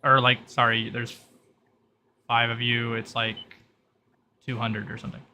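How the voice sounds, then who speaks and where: A man's voice: medium pitch, monotone, measured pace, sharp tone, slight background noise. A man narrating as game master, explaining the rules and briefing players in piecemeal, hesitant phrases.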